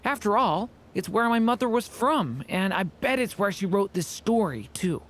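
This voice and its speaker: A man voicing an earnest, polite and gentle young male hero. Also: sits high, lively intonation, normal speed, noisy background. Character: earnest young male hero searching for his mother's past.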